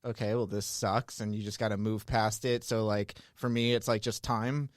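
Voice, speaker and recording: A man's voice: lowish voice, little pitch movement, normal speed, no echo, studio quality. A man chatting as a podcast guest, rambling with lots of "like" and laughing often. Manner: rambling, heavy use of "like", frequent laughter. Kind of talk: casual chat.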